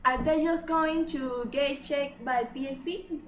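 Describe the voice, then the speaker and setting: Careful cancer doctor, amateur drama young woman acting: slow, stumbling delivery, distant noisy recording. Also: sits high, fairly even intonation, lo-fi sound. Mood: objecting. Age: teenager or young adult, high school student. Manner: halting, stumbling delivery of lines. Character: cautious female cancer doctor. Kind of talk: amateur student book dramatization. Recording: echoey room, distant mic, clear background noise.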